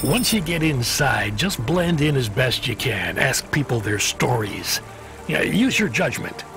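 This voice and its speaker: Gravelly, weary male dispatcher. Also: mid-range voice, very animated, unhurried, pushed and bright, soft background music, exaggerated voice. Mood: evasive, businesslike. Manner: hesitant, hedging, folksy. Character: weary, avuncular male dispatcher, awkwardly apologetic. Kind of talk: video game voice acting.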